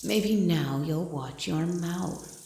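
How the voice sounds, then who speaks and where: A woman voicing a menacing female forest monster that slowly taunts its prey in a mocking horror roleplay. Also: lowish voice, creaky, mellow, echoey room, a little hiss or hum. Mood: taunting menace. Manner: slow, drawn-out, mocking. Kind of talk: horror audio roleplay, ASMR.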